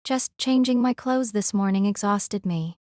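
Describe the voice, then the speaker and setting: A woman playing a gentle, composed noble lady in an audio drama, speaking politely and reassuringly. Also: mid-range voice, unhurried, no room sound, clean studio sound, lightly acted. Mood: apologetic, frank.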